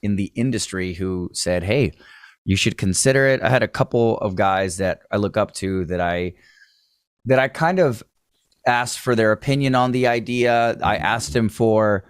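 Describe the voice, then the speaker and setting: A man, talking casually about his music over a video call. His voice sits a bit low, and he rambles in a friendly way, full of fillers and restarts. The sound is dry and close, with no room echo. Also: moderate pace, clear, resonant tone. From Houston, Texas. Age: late 20s. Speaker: drummer, interview guest. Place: home music studio room.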